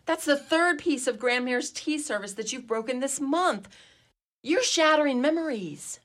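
A woman's voice: high-pitched, lively intonation, normal speed, fairly bright tone. A woman playing a dignified, imperious wealthy mistress of an old mansion in a comedy radio play, with a grand, formal, theatrical way of talking. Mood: scolding, imperious.

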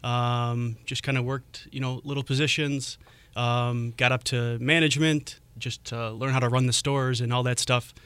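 Smooth bright-voiced guest, full of ums. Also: male voice, mid-range voice, flat delivery, moderate pace, dry recording. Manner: hesitant, lots of "um"s, friendly. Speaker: restaurant creative director, radio guest. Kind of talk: radio interview answers. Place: radio studio. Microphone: studio microphone.

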